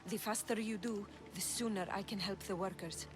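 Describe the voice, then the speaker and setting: A woman playing a shrewd, composed healer in a video game, with a measured, assured manner. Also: medium pitch, steady tone, over music, natural voice. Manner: assured, measured, matter-of-fact. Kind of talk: video game cutscene acting.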